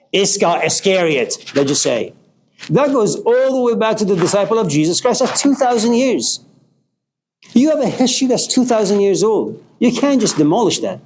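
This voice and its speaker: A man's voice: high voice, darkish tone, low-quality recording. Middle-aged male lecturer from Iran, passionate, blunt, curses for emphasis; light background hiss. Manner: passionate, swearing, rising to emphatic outbursts. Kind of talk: informal philosophy lecture.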